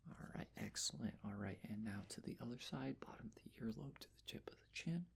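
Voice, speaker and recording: A man speaking in a breathy whisper, gently and reassuringly giving step-by-step instructions in an ASMR personal-attention roleplay. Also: medium pitch, lively intonation, fairly quick. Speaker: ASMR roleplayer taking face measurements.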